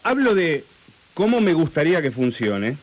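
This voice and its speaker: A man's voice: a little high, sing-song, normal speed, highs cut off. A man with an Argentine accent debating on a radio show, argumentative and repeating himself as he qualifies his point. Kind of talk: opinionated radio discussion.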